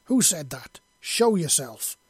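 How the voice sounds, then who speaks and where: A man voicing a panicked, guilt-ridden female alien huntress, pleading and yelling in desperation. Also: on the high side, flat delivery, normal speed, breathy edge, no room sound. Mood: angry, demanding.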